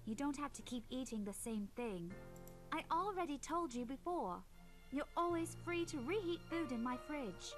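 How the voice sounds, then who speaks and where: A woman playing a caring, motherly young teacher who gently nags her friend in a visual novel, over music. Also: sits high, expressive, normal speed. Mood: fond, worried. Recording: faint music behind the voice.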